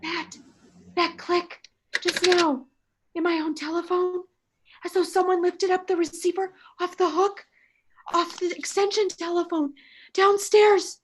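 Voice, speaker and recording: Extremely high pitch, actress as panicky invalid wife, nervous, demanding, melodramatic, radio suspense play. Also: female voice, steady tone, a little slow. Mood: frightened. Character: panicky, imperious, self-pitying invalid wife alone at night.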